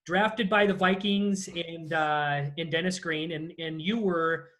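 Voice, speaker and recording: Higher-pitched male interview host. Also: fairly even intonation, slow pace, a bit bright. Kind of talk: casual interview questioning. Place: video call.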